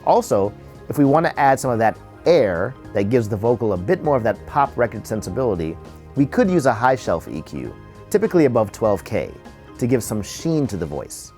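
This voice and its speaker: A man narrates an instructional tutorial as its presenter, explaining things step by step. His mid-pitched voice moves with lively intonation. Faint music sits behind him. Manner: explanatory, measured step-by-step delivery.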